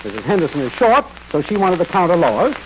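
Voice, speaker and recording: A man's voice: a little high, sing-song, quick pace. An American man acting a put-upon, sardonic neighbor in an old-time radio sitcom, wry and long-suffering, on a noisy, muffled recording. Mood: griping.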